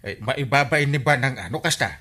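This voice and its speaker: A man's voice: medium pitch, a little fast, sharp tone. A man acting an exasperated, comic village captain with an Ilocano accent in a radio comedy-drama. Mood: puzzled.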